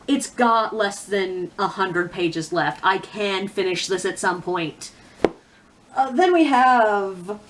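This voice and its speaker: A woman's voice: fairly low pitch, fairly bright tone, a little hiss or hum. A woman giving a casual, chatty monologue as a book reviewer, opinionated and conversational, with self-deprecating asides.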